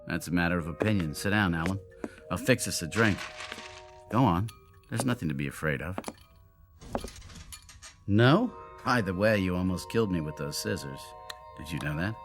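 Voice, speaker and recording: An actor playing a drunken, world-weary genius inventor who mocks himself bitterly, in radio drama style. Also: male voice, deep voice, animated, normal speed, long pauses, over music. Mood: wry, inviting. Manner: wry, theatrical, world-weary.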